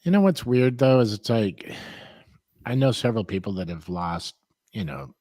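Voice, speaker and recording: A man's voice: lowish voice, expressive, normal speed, dark tone, no echo, studio quality. A man in a casual podcast chat who rambles and restarts his sentences, talking with animation.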